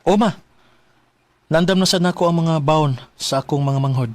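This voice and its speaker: A man's voice: fairly high pitch, normal speed, darkish tone, no echo, close to an everyday voice. A man playing a modest, dutiful young student with a Cebuano accent in a Philippine radio drama.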